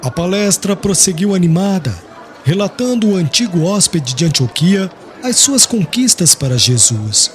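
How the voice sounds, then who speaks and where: A man's voice: high-pitched, sing-song, moderate pace, slight background noise. A man narrating an audiobook in a solemn, literary style.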